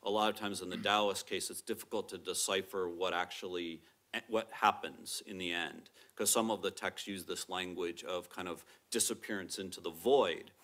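A middle-aged man with a very low, strong voice that carries, explaining ideas at a table microphone on a lecture theatre stage. He talks in a measured, careful way, hedging with qualifiers as he lays out each point. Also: fairly even intonation, fairly bright tone. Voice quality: strong, projecting voice. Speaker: panel speaker, professor. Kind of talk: academic panel discussion.

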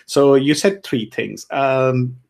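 A man's voice: mid-range voice, takes it slowly, vocal fry, a little mellow. A man in his thirties from India with an Indian accent, chatting casually as a podcast host on a remote call. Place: living room, remote video call. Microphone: headphones, video call.

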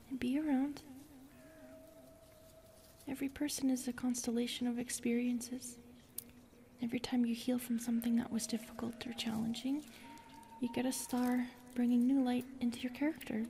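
A soft, mellow forest spirit, played by a woman, whispers with a creaky edge in an ASMR roleplay. She is lonely, eerie and gentle, speaking slowly and wistfully over faint background ambience. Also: on the high side, a little hiss or hum. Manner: soft, breathy, slow and wistful, often whispering.

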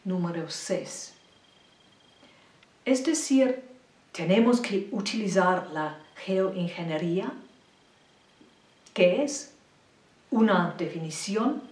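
An older woman giving a slide lecture point by point, in careful, deliberate phrasing of a non-native speaker, speaking in a small indoor room. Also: mid-range voice, expressive, a little mellow, recorded from a distance. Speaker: presenter. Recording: roomy echo, mic set back.

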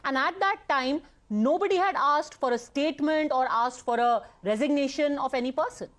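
A woman's voice: high voice, moderate pace. A woman TV news anchor interviewing a politician, asking persistent, pointed questions.

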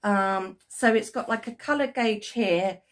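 A British woman with a croaky, cold-roughened voice chats casually into a desk webcam from her yarn-filled craft room. She rambles a little, filling gaps with ums and correcting herself as she goes. Middle-aged and homely in tone. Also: mid-range voice, unhurried. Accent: British English. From United Kingdom. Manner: chatty and rambling, lots of ums and self-corrections. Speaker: crochet vlogger. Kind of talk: casual chat to camera. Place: home craft room with yarn shelves, indoors. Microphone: webcam at desk, framed from the chest up.

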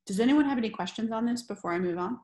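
A woman's voice: a little high, racing, darkish tone. A woman giving a training presentation over a video call, earnest and conversational with frequent fillers.

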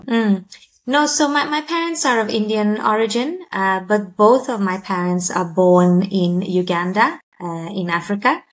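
A woman's voice: mid-range voice, unhurried. A woman in her thirties from Sweden, a guest on a video call interview, speaking thoughtfully with many fillers. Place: indoor room by a window. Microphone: laptop or webcam mic on a video call.

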